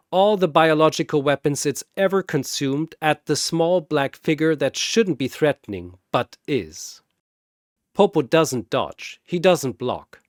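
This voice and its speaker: A man narrating a scripted story in a deliberate, dramatic way. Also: medium pitch, no room sound, clean studio sound.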